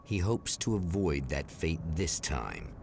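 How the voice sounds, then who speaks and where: A man playing the grave, portentous narrator of an eerie anthology TV show in a game, gravelly and deliberate. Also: extremely low pitch, very animated, exaggerated voice. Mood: grave, ominous. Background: music.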